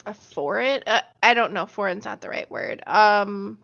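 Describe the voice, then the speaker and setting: A woman's voice: mid-range voice, normal speed, gravelly, fairly bright tone. A woman in her thirties chatting casually and rambling, laughing often as she tells stories. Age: 30s. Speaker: stream host. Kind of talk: casual chat. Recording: muffled lo-fi sound.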